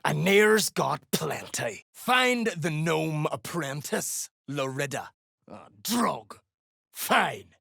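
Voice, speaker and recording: A gruff, greedy dwarf mercenary for a fantasy game. The voice is heavily fried and gravelly, with a forceful bright edge. The coarse, threatening lines swing widely in pitch. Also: very animated, drawn-out words, dry recording, studio quality, exaggerated voice. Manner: coarse, swearing, dialect-heavy threats. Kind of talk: video game character voice acting.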